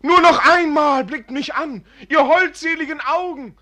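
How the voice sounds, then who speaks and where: A man's voice: extremely high pitch, a little slow, sharp tone, exaggerated voice. A man playing a rapturous, lovesick young student in a radio fairy tale, pleading in breathless exclamations.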